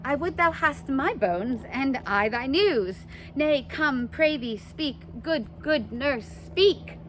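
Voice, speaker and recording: A woman's voice: high-pitched, lively intonation, slow pace, poor audio. A woman reading the part of a lovesick, impatient teenage girl in a stage play, dramatic and pleading, with some noise behind her. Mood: pleading, exasperated.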